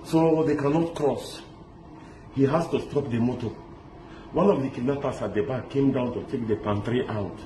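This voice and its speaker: A middle-aged man from Lebanon telling his story in an animated, halting way, restarting often and mixing in pidgin words. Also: mid-range voice, a little hiss or hum. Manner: excited, halting, many restarts. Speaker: interviewee recounting a kidnapping. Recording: echoey with loud street ambience.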